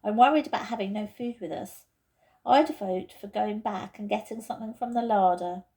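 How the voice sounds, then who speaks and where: A woman's voice: medium pitch, normal speed, dark tone, natural voice. A woman voicing a responsible, decisive boy in a storybook, firm and matter-of-fact. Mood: resolute.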